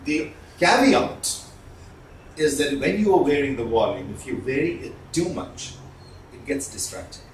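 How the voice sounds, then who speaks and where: A man lectures as a communication trainer in a roomy space, a little away from the microphone. He delivers points deliberately, with emphasis and pauses between them, at a middling pitch. Faint noise sits behind him. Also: big pitch swings. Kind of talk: instructional lecture to viewers. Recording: roomy, distant mic, faint background noise.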